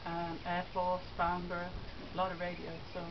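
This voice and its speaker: A woman's voice: on the low side, monotone, normal speed. A woman telling a chatty, laughing anecdote to a gathering outdoors, recorded by a far camera. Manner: chatty, laughs through her story. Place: outdoors under a tent on a grass field. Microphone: far camera, no mic. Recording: dull lo-fi camera audio with outdoor noise.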